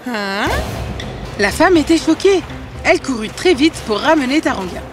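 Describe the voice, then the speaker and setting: High-voiced, animated woman storyteller. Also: a little fast. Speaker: narrator. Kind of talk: scripted fairy-tale narration. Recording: music behind the voice.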